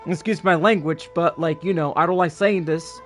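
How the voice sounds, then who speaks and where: A man's voice: on the high side, fairly even intonation, moderate pace, a bit bright. A man streaming a game and giving a casual, blunt, sweary rant with emphatic opinions. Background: game music.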